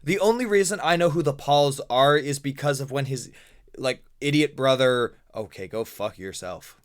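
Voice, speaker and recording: A man hosting a loose podcast with his friends, talking at a middling pitch. He rambles, gets sarcastic, and keeps pulling the chat back on topic. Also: moderate pace. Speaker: podcast host.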